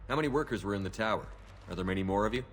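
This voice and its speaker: An actor playing a cool, terse, controlled soldier hero in a sci-fi video game. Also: male voice, fairly low pitch, expressive, very fast, fairly bright tone. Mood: calm, businesslike.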